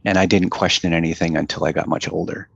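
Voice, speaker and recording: A man's voice: sits low, flat delivery, fast talker, vocal fry, slightly rough, dry recording, muffled recording. A man, a guest in a casual interview, talking in a loose, rambling way with fillers and restarts. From United States.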